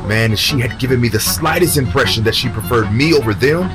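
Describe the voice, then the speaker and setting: A proud, embattled male senator played by a man speaks in a medium-pitched voice. He is earnest and conversational, weary and defensive in an audio drama. Music plays clearly behind him. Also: fairly quick, natural voice.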